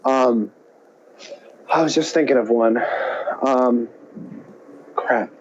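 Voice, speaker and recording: A man's voice: mid-range voice, slow pace, dull audio, background noise. A man co-hosting a podcast in casual chat, often hesitant and thinking aloud. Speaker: podcast co-host.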